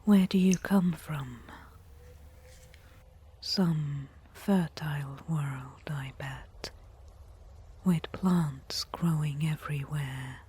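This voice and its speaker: A woman acts out a disdainful desert tribeswoman, a reluctant nurse in an ASMR roleplay. Her whisper is extremely husky and breathy, low and very unhurried. She phrases things formally, with stern pride. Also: dark tone, exaggerated voice. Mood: scornful, curious. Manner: slow, measured, formal phrasing.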